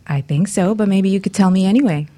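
A woman's voice: medium pitch, fast talker, mellow. A woman hosting a radio show in a studio with a casual, flirtatious style of talk. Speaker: radio show host, intimacy coach.

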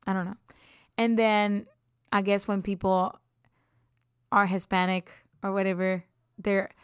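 A woman's voice: medium pitch, clear, resonant tone, dry recording, studio quality. A woman in her twenties from Puerto Rico, chatting casually about herself as a podcast host, upbeat and laughing often, sometimes dropping into a whisper. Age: 20s. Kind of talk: casual self-introduction.

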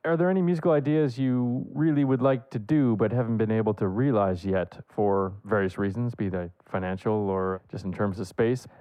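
A man hosting a music interview show, asking questions in a relaxed, conversational way. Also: lowish voice, moderate pace, few pauses, clear, resonant tone, no echo, dull audio. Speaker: podcast host.

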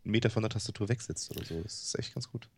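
A man co-hosting a casual tech podcast over an internet call, talking quickly as he explains things. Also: lowish voice, darkish tone, breathy edge. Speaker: podcast co-host. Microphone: headset over a Skype call.

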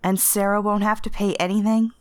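A woman reader playing an anguished, desperate woman in an audio story, speaking urgently and haltingly with raw emotion over a lost love. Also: medium pitch, little pitch movement, clean tone, pitched above the performer's own voice, natural voice. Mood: shaken, bewildered. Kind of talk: dramatic audio fiction reading.

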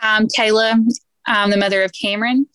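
A woman's voice: mid-range voice, steady tone, moderate pace, fairly bright tone, clear, resonant tone, no echo. A woman from Alabama, a parent on a panel, giving plain facts about her child.

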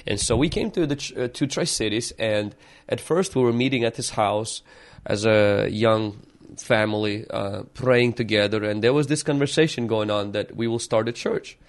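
A man's voice: fairly low pitch, normal speed, few pauses. A man from Ukraine, a pastor, who opens with a prayer and then tells his life story in an earnest, reflective way, often restarting his sentences. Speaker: pastor and podcast guest. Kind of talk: opening prayer, then storytelling interview answers.

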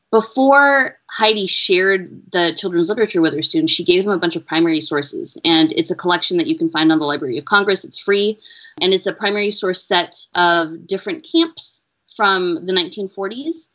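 A woman researcher answering interview questions in an earnest, measured, explaining way. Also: fairly low pitch, a bit bright. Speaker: education researcher, interview guest. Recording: dull lo-fi audio.

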